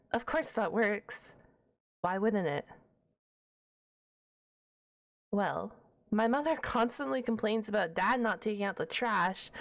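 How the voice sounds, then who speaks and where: High-pitched, flustered half-dragon librarian played by a woman. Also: gravelly. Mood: matter-of-fact, prim. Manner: stammering, bookish, wordy, awkwardly defensive. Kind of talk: ASMR roleplay audio drama.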